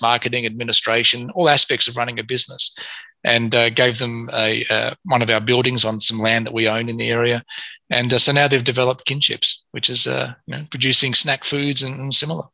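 A man with a gravelly, fry-laced voice that comes across strikingly bright and forceful. He answers interview questions in a halting way, full of fillers and restarts. The sound is very dry and close. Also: mid-range voice, little pitch movement, no room sound, low-quality recording. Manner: hesitant, many fillers and restarts. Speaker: mining company executive. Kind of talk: business interview.

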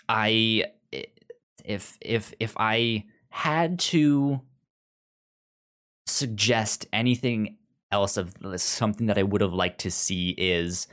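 Halting podcast co-host, mid-pitched voice. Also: fairly bright tone. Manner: halting, repeats words while forming thoughts. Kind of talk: casual review discussion.

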